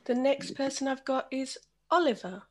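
A woman's voice: high-pitched, lively intonation, moderate pace. A British Bangladeshi woman hosting a podcast over a video call, reading an introduction and then handing the floor to each guest. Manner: reads an introduction, then chats and hands over by name. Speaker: podcast host.

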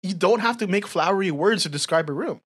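Young woman, low voice, slight Filipino accent, casual podcast co-host, informal and emphatic. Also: animated, fast talker, breathy edge. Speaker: podcast co-host and content creator.

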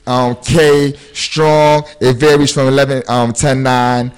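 A man's voice: mid-range voice, level tone, slow pace, smooth. A man hosting a podcast, talking in a laid-back, rambling way with lots of fillers and street slang. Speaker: podcast host. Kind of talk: casual podcast chat.

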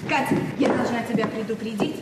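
Actress as a prim, high-voiced female busybody. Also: quick pace, lightly acted. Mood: prim, ominous. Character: Evgenia, officious middle-aged woman, self-righteous busybody friend. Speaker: actor in a radio play. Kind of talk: 1970s Soviet radio play acting. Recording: noisy, roomy, distant old radio recording.

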